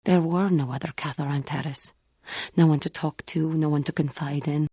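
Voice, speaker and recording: An actress playing a solemn, proud yet wounded female feline alien warrior monk in a video game, speaking in a formal, measured, guarded and earnest way. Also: sits low, fairly even intonation, dark tone, husky, dry recording, clean studio sound. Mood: bitter, wounded. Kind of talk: video game character dialogue.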